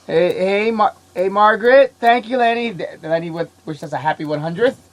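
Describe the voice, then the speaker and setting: A man hosting a casual podcast, sick and coughing, talking in an excitable, rambling way and laughing often. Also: sits high, fairly quick. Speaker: podcast host. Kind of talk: casual chat.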